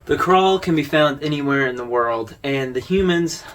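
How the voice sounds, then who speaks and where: A man's voice: fairly high pitch, normal speed, a bit bright, slight background noise. A man hosting an informal explainer video, talking through his commentary in a conversational, wry way. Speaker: video host.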